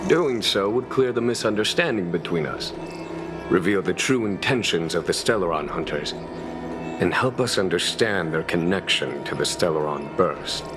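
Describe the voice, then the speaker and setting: An actor playing a calm, shrewd general in a video game, speaking in a measured, formal and diplomatic way. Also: male voice, sits low, over music. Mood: composed, authoritative. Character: calm, courteous, shrewd male general commanding a starship's knights. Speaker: military leader. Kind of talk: scripted video game dialogue.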